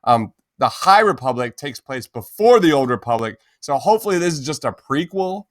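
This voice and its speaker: A man's voice: medium pitch, lively intonation, normal speed, no echo. A man hosting a podcast, giving opinions in informal, emphatic fan chat. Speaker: podcast host.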